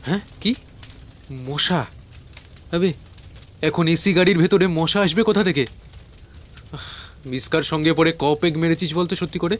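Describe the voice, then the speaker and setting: A man's voice: a little high, normal speed, pauses often, close to an everyday voice. A man voicing a brash, irritable young friend in a horror cartoon, slangy and agitated. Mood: annoyed. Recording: loud background music.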